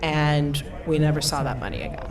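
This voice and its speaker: A woman in her thirties, from Eastern Europe, telling a personal story as a panelist in an animated, storytelling way. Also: sits low, brisk. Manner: animated storyteller, laughs often. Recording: far from the mic, crowd behind.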